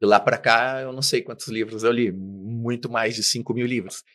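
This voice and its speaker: A man's voice: medium pitch, steady tone, moderate pace, a bit bright. Middle-aged guy, business guest, acts out the dialogue as he tells his story. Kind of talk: interview storytelling.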